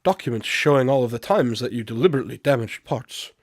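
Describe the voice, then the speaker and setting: A man playing a shrewd, unflappable detective in a radio drama, measured and knowing as he confronts a suspect. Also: mid-range voice, expressive, no echo, clean studio sound. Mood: accusing, confident. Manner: measured, knowing, coolly accusatory. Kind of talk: amateur radio drama acting.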